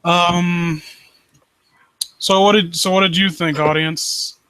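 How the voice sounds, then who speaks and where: A man hosting a live stream, reading and commenting on stories in a halting, rambling way and often rephrasing himself. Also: sits high, steady tone, bright, forceful tone, slight background noise, rough recording. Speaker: live stream host reading and critiquing amateur horror stories. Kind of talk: story read-aloud and casual commentary.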